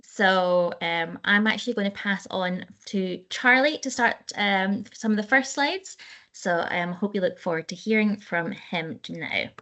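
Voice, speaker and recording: A woman's voice: medium pitch, a little slow, pushed and bright. A woman from a university introducing her colleagues and the talk in a friendly way, with frequent "um"s. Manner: friendly, hesitant with fillers. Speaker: university representative. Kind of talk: introducing a presentation.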